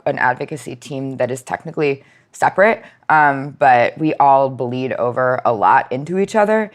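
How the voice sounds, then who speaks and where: An American woman speaking as an interview guest, explaining her nonprofit work in an informal, conversational way with many casual asides. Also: extremely low pitch.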